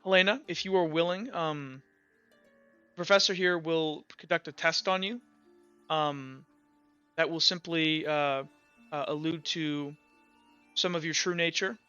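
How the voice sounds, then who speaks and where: A man voicing a solemn, formal tribunal judge in a tabletop roleplay, speaking haltingly and deliberately. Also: on the high side, fairly even intonation, pushed and bright, clear, resonant tone, dry recording, highs cut off, faint music behind. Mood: grave, measured. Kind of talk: tabletop roleplay character speech.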